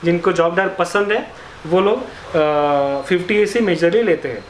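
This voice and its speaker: A high-pitched male voice with an Indian accent explains a product in an informal, hesitant way. He switches in and out of English. The recording is echoey, with faint noise behind him. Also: animated, moderate pace. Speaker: motorcycle gear store representative. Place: motorcycle accessories store. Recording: roomy echo, faint background noise.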